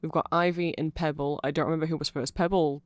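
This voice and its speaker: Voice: mid-range voice, fairly even intonation, fast, no room sound. A person of unclear sex giving a rambling ASMR explanation of fan lore, often getting flustered, trailing off and correcting themselves with amused enthusiasm.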